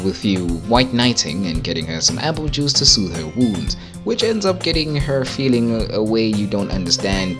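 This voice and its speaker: A man narrating a casual, comedic video game recap in an irreverent, wry way. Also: on the low side. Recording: music behind the voice.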